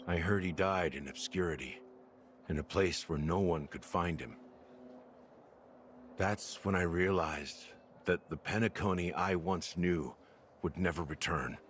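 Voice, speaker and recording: A male actor playing a weary, remorseful middle-aged officer in a video game, speaking slowly and broodingly with heavy pauses. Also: low-pitched, fairly bright tone, slight background noise. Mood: resigned. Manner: slow, brooding, measured delivery with heavy pauses. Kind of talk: scripted video game dialogue.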